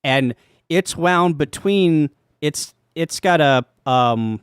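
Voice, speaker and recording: A man with a slightly higher-pitched voice and a dry, close sound hosts a casual interview. He feels his way through questions, restarting phrases and confirming details with short acknowledgements. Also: lively intonation, clear, resonant tone, dry recording. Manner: attentive, halting questions with frequent short acknowledgements. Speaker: interviewer, live stream host.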